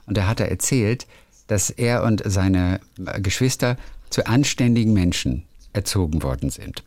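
A man's voice: on the low side, a little mellow, no room sound, studio quality. A male radio host in a casual phone chat with a friend, telling little anecdotes in a playful, chatty way. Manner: chatty storyteller, playful, hums melodies.

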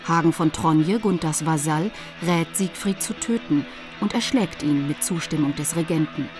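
Female narrator, measured and formal, lower voice, music bed. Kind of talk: scripted narration.

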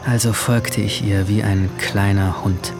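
A man's voice: lowish voice, steady tone, unhurried, music in the background, lightly acted. A man playing a withdrawn, brooding 17-year-old boy who narrates his summer in a radio drama, introspective and terse. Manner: introspective, terse, awkward in dialogue. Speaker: narrator and main character.

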